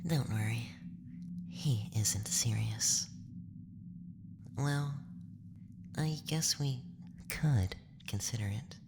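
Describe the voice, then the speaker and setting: A man voicing a calm, reassuring alien researcher in a whispered ASMR roleplay, measured and clinical with a faint menace. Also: mid-range voice, creaky, background noise. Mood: clinical, curious.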